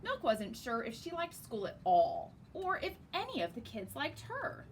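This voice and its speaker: A woman narrates a children's picture book with great expression. Her voice sits fairly high and swings widely in pitch. The recording has clear background noise. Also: a little slow. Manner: very expressive, emphatic. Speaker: storyteller reading a picture book. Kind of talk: animated read-aloud narration for children.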